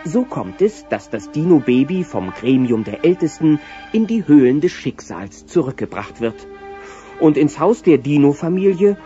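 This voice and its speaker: An actor as the narrator of a children's audio play, telling the story in a vivid scripted style. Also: male voice, a little high, sing-song, normal speed, dark tone. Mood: storytelling. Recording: muffled cassette-like sound with music.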